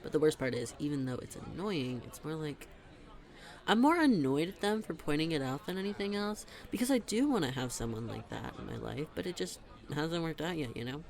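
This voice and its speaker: A young man plays a best friend in an intimate audio roleplay, teasing and talkative. His voice sits on the high side for a man. The delivery is conversational and animated, with casual, emphatic phrasing. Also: a little fast, vocal fry. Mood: weary, annoyed. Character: teasing, chatty best friend with a hidden crush. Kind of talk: conversational scripted roleplay monologue.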